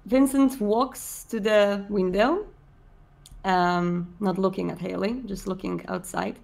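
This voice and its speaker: A woman narrating her character's actions in a tabletop roleplay session, in a deliberate, descriptive way. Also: mid-range voice, steady tone, gravelly, darkish tone. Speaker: player narrating own character.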